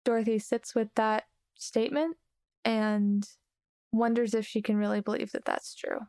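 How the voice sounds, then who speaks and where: A woman's voice: a little high, flat delivery, normal speed, dark tone, smooth. A woman player casually narrating her character in a tabletop game, thoughtful and hesitant.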